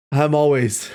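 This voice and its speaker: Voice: medium pitch, darkish tone. A livestream host chatting casually to viewers in a playful, exclamatory way, often repeating phrases for effect.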